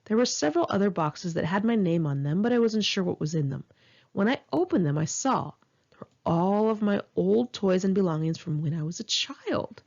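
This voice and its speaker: A woman reading her own memoir as scripted audiobook narration, in a frank, emphatic and expressive manner. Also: medium pitch, normal speed, creaky, lo-fi sound.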